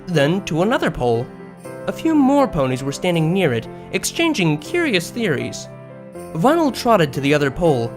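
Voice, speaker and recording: A man reading a story aloud as an expressive narrator, in scripted prose. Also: sits high. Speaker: narrator of a fan fiction story. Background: background music.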